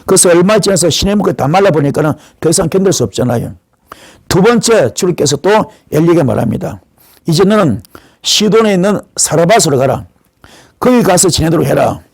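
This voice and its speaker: A male pastor delivers a sermon in a fairly high voice with a dry, close sound. He retells scripture as a story, voicing the dialogue and putting questions to his listeners. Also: expressive, fairly quick, darkish tone, slightly rough, no room sound. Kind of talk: sermon retelling Bible stories.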